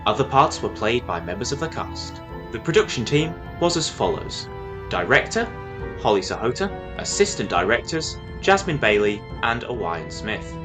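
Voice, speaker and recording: A man reading scripted closing credits as the announcer of an audio drama. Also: fairly low pitch.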